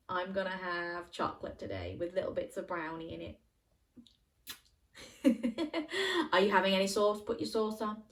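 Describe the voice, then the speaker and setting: A woman reading a children's story aloud, animated and playful, breaking off to ask the listener questions. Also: medium pitch. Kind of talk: interactive bedtime story reading for young children.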